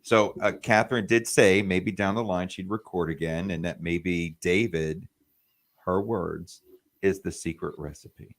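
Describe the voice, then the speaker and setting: A man hosting a casual chat, delivering jokes in a deadpan way. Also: low voice, a little slow. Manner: deadpan joke teller.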